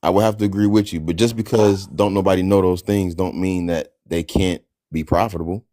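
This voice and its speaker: A male caller on a live stream who rambles, repeats himself and stumbles over his words. His voice sits on the low side. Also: fairly even intonation, a little fast, warm tone. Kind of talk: casual argumentative chat.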